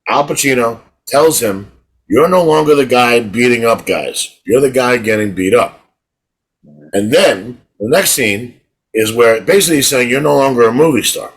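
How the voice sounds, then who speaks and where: A man's voice: medium pitch, normal speed, husky. A middle-aged man co-hosting a podcast in casual chat, telling rambling stories and restarting his words. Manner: rambling storyteller, repeats words when starting. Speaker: podcast co-host.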